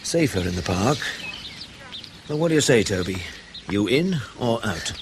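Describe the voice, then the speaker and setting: An actor playing a blunt, wry British intelligence chief in a radio spy drama, clipped and matter-of-fact, with colloquial phrasing. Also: male voice, fairly low pitch, sing-song, normal speed, noisy background. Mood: brisk, conspiratorial.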